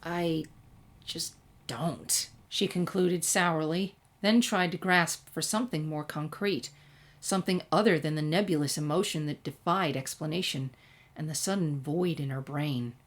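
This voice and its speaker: A woman's voice: deep voice, normal speed. A woman narrator reading a scripted fan-fiction story expressively.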